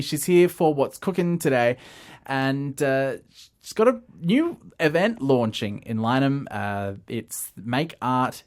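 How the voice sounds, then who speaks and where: A man hosting a radio interview, relaxed, with plenty of uhs. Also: medium pitch. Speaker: radio host.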